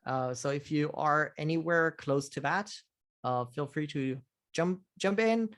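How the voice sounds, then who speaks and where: A man hosting a casual technical question-and-answer call, halting, with many fillers and restarts. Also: fairly high pitch, fairly bright tone. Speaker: host of community office hours.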